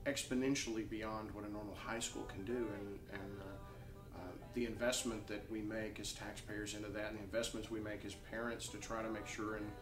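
A middle-aged man, a parent, speaking haltingly with many fillers in an interview on a sofa. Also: on the low side. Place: room with sofa, indoors. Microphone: lapel. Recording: roomy, from a distance, guitar music.

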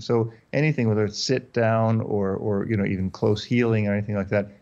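German-born man, low voice, dull call audio. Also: a little mellow. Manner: explanatory, repeats words and restarts often. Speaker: dog trainer. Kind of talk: interview guest on a video call. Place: dark room indoors. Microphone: headphones and desk mic on a video call.

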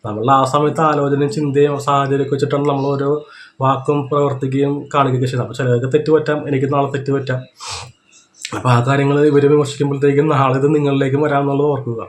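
A man's voice: mid-range voice, little pitch movement, racing, slight background noise. A man with a Kerala accent giving unscripted reaction commentary, explaining his points and often checking that listeners follow. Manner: explanatory, repeats check-in tag questions.

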